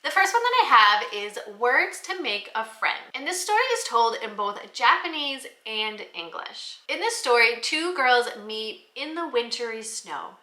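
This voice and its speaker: A woman's voice: on the high side, big pitch swings, measured pace, hardly any pauses, sharp tone, reverberant. A woman giving an informal instructional talk as an educator, in an explanatory, conversational way. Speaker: educator presenting classroom book recommendations.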